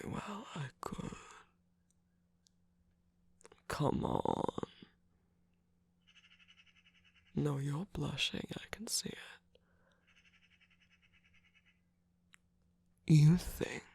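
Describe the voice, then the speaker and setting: Performer doing a gravelly, breathy, teasing villain. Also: mid-range voice, darkish tone, no room sound, full character voice. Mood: flirtatious teasing. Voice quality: breathy, gravelly, often dropping to a whisper. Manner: slow, coaxing, teasing, mock-innocent. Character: teasing, smug villainous cookie radio host, seductive trickster. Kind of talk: ASMR romantic roleplay, scripted character acting. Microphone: close microphone, dry.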